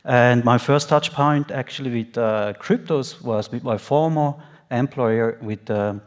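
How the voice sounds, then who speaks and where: A man's voice: mid-range voice, unhurried. A man introducing himself informally as a business partner on a conference panel.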